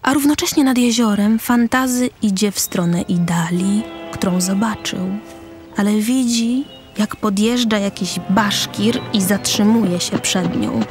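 A woman's voice: mid-range voice, unhurried. A woman reading scripted present-tense narration for a radio play. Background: music.

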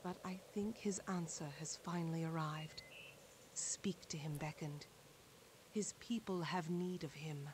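An actress playing a solemn armored female guide in a fantasy video game, speaking formally and gravely. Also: low voice, steady tone, measured pace, noisy background. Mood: grave, cautioning.

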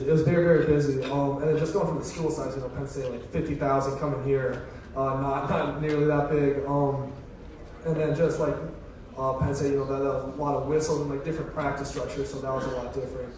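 A man's voice: mid-range voice, darkish tone. A man in his twenties answering interview questions on stage in an informal, loose, slangy way. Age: 20s. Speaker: college football player being interviewed. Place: indoor stage in an auditorium. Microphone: handheld microphone. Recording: echoey hall PA, distant, noisy.

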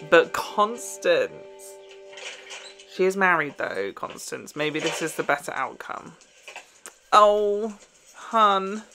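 A man's voice: high voice, animated, slow, pauses often, music in the background. A man in his twenties giving casual, informal reaction commentary with a self-deprecating, gushing manner. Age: 20s. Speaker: reaction video host. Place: small room at home, indoors.

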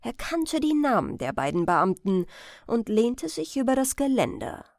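A woman's voice: a little high, sing-song, moderate pace, gravelly, darkish tone, no echo, clean studio sound. A woman narrating a crime audiobook as a scripted reading.

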